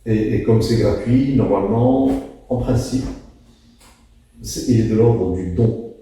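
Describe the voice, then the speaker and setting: A man in his sixties from Morocco answers interview questions reflectively, in a halting, deliberate way, repeating words for emphasis. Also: lowish voice, dark tone. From Morocco, moved to France at thirteen. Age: 60s. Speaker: interview guest, physics teacher. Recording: very echoey room, distant mic.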